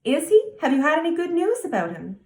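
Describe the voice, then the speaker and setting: A woman reading a tender, soft-spoken mother. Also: very high-pitched, huge pitch swings, brisk, reverberant, pitched above the performer's own voice, exaggerated voice. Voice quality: soft and hushed. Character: tender, gentle mother of grown sons.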